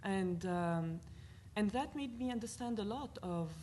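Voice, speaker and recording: A woman's voice: medium pitch, unhurried, slight background noise. Earnest Lebanese-accented woman panelist. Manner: earnest, animated, thinks aloud with fillers. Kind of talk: panel discussion talk. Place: university panel event.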